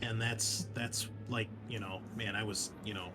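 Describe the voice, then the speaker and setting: A man's voice: lowish voice, fairly even intonation, moderate pace, over music. A man hosting and running a tabletop game in a casual, enthusiastic, sweary, conversational way. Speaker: game master and host.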